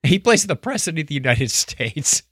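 A man's voice: fairly high pitch, normal speed, husky, no echo, clean studio sound. A man co-hosting a podcast, chatting casually about shows and telling stories with acted-out quotes. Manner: animated storytelling with acted-out quotes. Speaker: podcast co-host.

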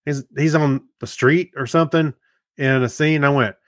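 A man's voice: a little high, fairly even intonation, normal speed, dry recording, studio quality. A middle-aged man co-hosting a podcast, chatting in an agreeable, conversational way about films and TV shows. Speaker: podcast co-host.

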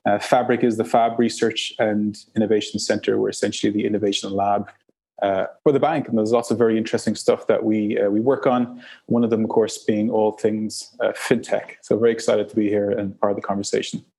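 An eager man introducing himself on a panel, tripping over fillers as he goes. His voice is husky and on the mellow, dark side. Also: fairly low pitch, level tone, a little fast. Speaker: panel guest, head of a bank innovation lab. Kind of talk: guest introduction.

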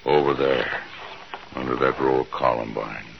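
A man's voice: a bass voice, steady tone, normal speed, gravelly, a little hiss or hum. An American man playing a gaunt, resigned settler in a 1950s radio western, terse and heavy, on a dull old recording.

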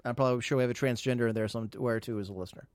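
A man's voice: mid-range voice, fairly even intonation, rapid-fire, dry recording. A man hosting a live podcast, chatting casually, rambling and teasing with mock boasts. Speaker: podcast host.

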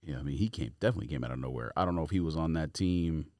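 A man's voice: very deep voice, rapid-fire, darkish tone, no room sound, studio quality. A man co-hosting a podcast, explaining his points in casual, informal sports conversation. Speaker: podcast co-host.

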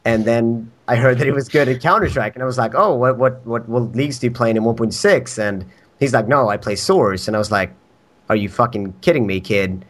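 A man's voice: medium pitch, fairly quick. A man from Sweden with a Swedish accent, a podcast guest chatting casually, rambling, laughing often and swearing.

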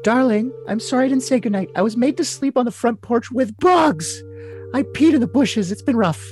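A man's voice: very high voice, animated, moderate pace, a little mellow. A man voicing a lovesick, scheming young woman in a cutesy, coy, overly dramatic comic reading of messages. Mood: sweetly plaintive. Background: music.

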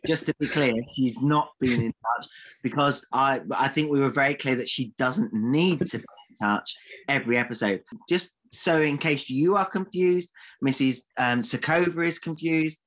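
A man's voice: medium pitch, moderate pace, a bit bright, low-quality recording. A man co-hosting a comedy podcast, teasing and theatrically mock-insulting his partner. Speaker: podcast co-host. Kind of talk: comic banter.

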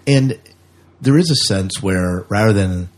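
A man's voice: fairly low pitch, measured pace. A man co-hosting a podcast in casual, unscripted chat, often repeating his phrases. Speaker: podcast co-host.

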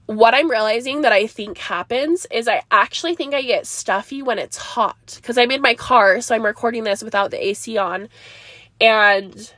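A woman's voice: on the high side, moderate pace, pushed and bright, dry recording. A young woman hosting a casual solo podcast, rambling and chatty with frequent laughs, her nose stuffed up, talking from inside her car. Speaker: podcast host. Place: parked car.

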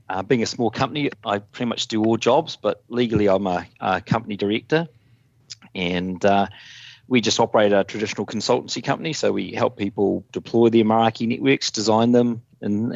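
Kiwi guy calling in remotely, lowish voice, kinda dull lo-fi line, dry jokes. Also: brisk, creaky, a little mellow, no room sound. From New Zealand. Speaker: guest, company director. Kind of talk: casual chat on a remote call. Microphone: remote call from overseas. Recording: dull remote-call audio.

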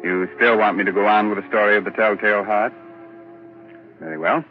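A man's voice: on the low side, steady tone, fairly quick. A man hosting a 1940s radio mystery drama, speaking in a sly, teasing, theatrical way. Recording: muffled old radio broadcast with faint music.

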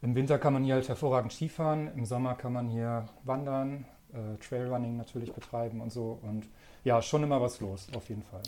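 A man's voice: medium pitch, fairly quick. Thirties male guest from northern Germany, easygoing, lots of ähm. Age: 30s. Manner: relaxed, friendly, frequent fillers. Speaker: magazine editor, guest. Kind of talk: casual interview chat.

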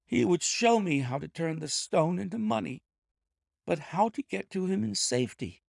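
A man's voice: high voice, expressive, normal speed, vocal fry, darkish tone, no echo, studio quality, voice pushed up, exaggerated voice. A man reading aloud and voicing a frightened, cornered male thief who pleads and confesses in a panicky way. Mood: nervous confession. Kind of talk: audiobook character voice.

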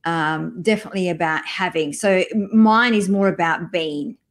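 A woman's voice: on the low side, moderate pace. Middle-aged woman guest, upbeat conversational interviewee. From France. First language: French. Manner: upbeat, chatty, with restarts. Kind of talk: casual interview chat.

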